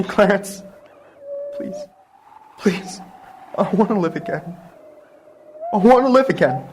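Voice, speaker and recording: An actor playing a desperate family man who pleads and shouts, then turns overjoyed. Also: very high voice, slight background noise. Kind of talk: stage or radio play acting.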